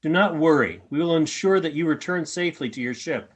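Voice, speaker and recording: A man playing a calm, formal alien in a sci-fi radio play, speaking in measured, clipped announcements. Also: fairly high pitch, close to an everyday voice. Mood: calm, reassuring.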